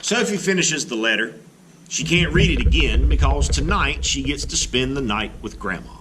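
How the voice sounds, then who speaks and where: A man narrating a children's storybook in an expressive read-aloud style, as a teacher would. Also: medium pitch, normal speed, slight background noise. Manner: expressive, animated delivery. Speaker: art teacher reading a children's book aloud.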